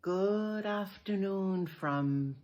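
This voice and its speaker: Older Canadian woman speaking patois, slow. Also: medium pitch, darkish tone. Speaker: vlogger. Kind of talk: casual address to camera. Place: rural Jamaica, outdoors.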